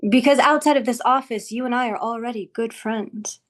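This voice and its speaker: A woman playing a composed, condescending female office boss in a script table read, speaking in a measured, dry way. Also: a little high, lightly acted. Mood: wry, consoling.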